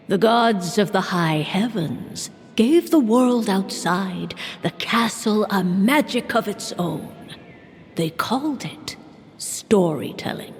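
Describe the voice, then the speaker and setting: A solemn, mystical woman in a fantasy game, slowly unfolding an old legend. Her voice is mid-pitched and often sinks to a hush, with a long reverb around it. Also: a little hiss or hum. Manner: measured, storytelling, drops to whispers.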